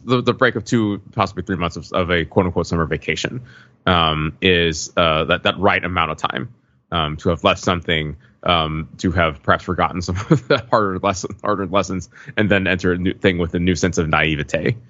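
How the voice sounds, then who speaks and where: A man co-hosting a casual podcast, speaking in hesitant, measured phrases. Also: low voice, bright, forceful tone, no room sound, dull audio. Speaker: podcast co-host. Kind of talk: casual panel chat.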